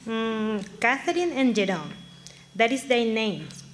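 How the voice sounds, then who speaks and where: A woman's voice: fairly high pitch, expressive, slow pace. A kindly immigrant mother acted by a woman in a live radio play. She has a Mexican accent and drops Spanish into her broken English. Light noise sits behind the voice. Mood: warm, motherly. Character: warm Mexican immigrant mother newly adopting two children. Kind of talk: radio drama acting. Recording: live stage performance, audible background noise.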